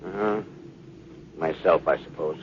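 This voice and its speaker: A man acting a seasoned, authoritative middle-aged spaceship captain in a vintage radio drama. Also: very deep voice. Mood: grim, insistent. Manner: brisk, authoritative. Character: seasoned, commanding male spaceship captain, weary but determined. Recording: muffled, noisy old radio recording.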